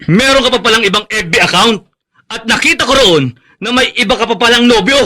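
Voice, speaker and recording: A man's voice: very high-pitched, a little fast, pushed and bright, full character voice. A Filipino man playing an angry, betrayed boyfriend in a radio drama, heated and accusing. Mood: angry, hurt. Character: angry betrayed boyfriend confronting his girlfriend.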